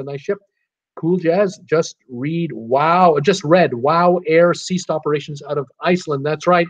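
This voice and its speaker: A man hosting a live cruise Q and A stream, reading viewer comments aloud and replying in a chatty, easygoing way with lots of "uh"s. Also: on the high side. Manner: reads out chat names and replies in a chatty, easygoing way with many "uh"s. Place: temporary home setup in a city. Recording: dull, lo-fi live-stream audio.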